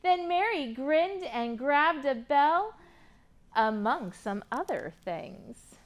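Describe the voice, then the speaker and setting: Slow, high-pitched woman hosting children's storytime. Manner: expressive, encouraging, prompting children with questions. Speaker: children's storytime host at a public library. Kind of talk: picture-book reading and sing-along for young children.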